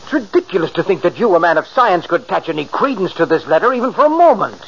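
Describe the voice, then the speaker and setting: Agitated, high-voiced skeptical father, old radio. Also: very animated, quick pace. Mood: scoffing. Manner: incredulous, emphatic, stammering when rattled. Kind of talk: 1940s radio drama acting. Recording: muffled, hissy old radio recording.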